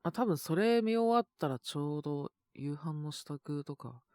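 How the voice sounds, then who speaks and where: A man with a sore, scratchy throat and a cough, chatting casually and intimately in soft, hesitant murmurs as if to someone beside him. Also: on the high side, expressive, normal speed, clear, resonant tone, dry recording, studio quality. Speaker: voice actor making situation-voice videos. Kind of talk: intimate casual chat to an unheard partner.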